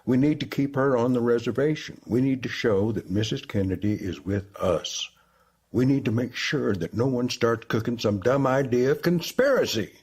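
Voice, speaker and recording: An actor playing a wary, commanding president in a crisis, gruff and clipped, in an audio drama. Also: male voice, mid-range voice, moderate pace, a little mellow, natural voice. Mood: commanding, stubborn. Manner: clipped, repeats himself, presses with short questions.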